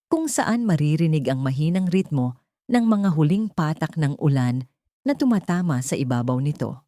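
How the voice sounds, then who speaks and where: Filipino lady reading a drama audiobook, low pitched, dry close sound. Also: mellow, no echo. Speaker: audiobook narrator. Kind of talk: dramatic scripted story narration.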